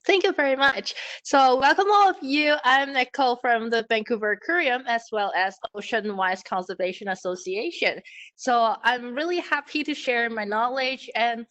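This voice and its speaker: A woman's voice: a little high, moderate pace, fairly bright tone. A woman hosting an online talk for an aquarium, welcoming and a little hesitant, with fillers, over a video call. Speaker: aquarium host.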